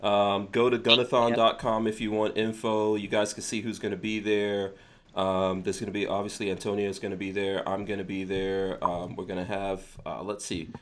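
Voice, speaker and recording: A man's voice: lowish voice, little pitch movement, normal speed, fairly bright tone. A man hosting a podcast in casual chat, with a teasing, joking way of talking. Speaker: podcast host.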